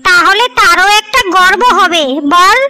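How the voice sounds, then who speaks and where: A woman's voice: very high-pitched, steady tone, a little slow. A woman voicing a kindly older mistress of the house in a cartoon story, with a coaxing, motherly way of advising. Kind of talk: Bengali cartoon story dialogue. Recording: music behind the voice.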